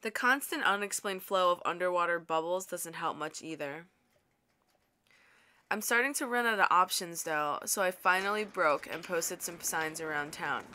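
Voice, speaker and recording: A woman's voice: lowish voice, moderate pace, sharp tone. A woman playing a practical, wry young lighthouse keeper and inventor, narrating and speaking in an audio drama in a conversational way. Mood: wry, reflective.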